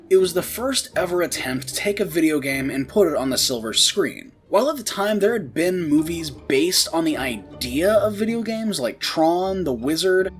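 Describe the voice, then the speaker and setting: Animated, high-voiced man narrating video commentary. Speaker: video essay host.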